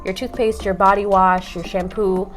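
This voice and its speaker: A woman's voice: mid-range voice, little pitch movement. A woman who owns a local shop, chatting in an interview and explaining her products with lots of "um" fillers and small laughs. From born in North Africa, raised in New York. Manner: chatty and informative, frequent "um" fillers and quick laughs. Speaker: business owner, guest. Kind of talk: casual interview conversation. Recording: music playing underneath.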